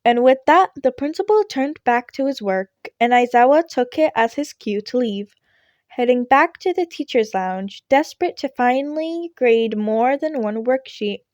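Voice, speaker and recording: Female amateur narrator for scripted fiction, fairly high pitch, dry recording. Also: animated, normal speed, darkish tone, no room sound, muffled recording.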